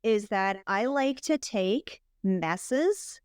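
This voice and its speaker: A woman's voice: medium pitch, lively intonation, very slow, clear, resonant tone, no echo, clean studio sound. A woman guest on a podcast, telling her story in a bubbly, chatty way and laughing often. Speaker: podcast guest, health coach. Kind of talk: casual interview chat.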